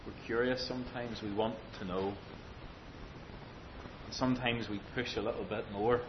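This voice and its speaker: A man preaching an expository sermon, speaking with emphatic, deliberate phrasing, rhetorical questions and repetition. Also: steady tone. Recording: dull lo-fi sound, faint rumbling noise.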